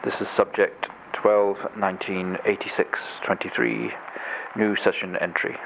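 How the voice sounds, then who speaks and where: An actor playing a calm, clinical psychiatrist who speaks in a soothing, deliberate, coaxing way in a video game drama. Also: male voice, low-pitched, creaky, dull audio, slight background noise. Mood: clinical, formal. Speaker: doctor leading a therapy session.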